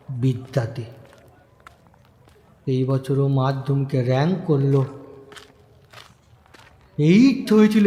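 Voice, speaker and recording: Young guy narrating a story, chatty, slow and highish, echoey room. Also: dark tone. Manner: chatty, addresses listener, fond asides. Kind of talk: first-person audio story narration. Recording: echoey room with long reverb.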